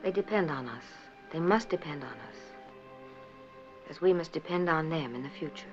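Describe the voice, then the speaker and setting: A woman delivering scripted 1950s documentary narration in an earnest, measured, rhetorical manner. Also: deep voice, expressive. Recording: dull 1950s film soundtrack, music behind. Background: background music.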